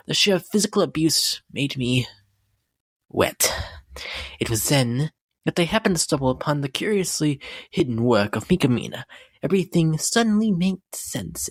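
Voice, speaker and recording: A woman voicing a deranged, refined female pony in a horror dramatic reading, genteel and theatrical, with a breathy voice that often drops to a whisper. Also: sits low, dry recording, heavily acted. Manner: theatrical, genteel, drawn-out delivery. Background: background music.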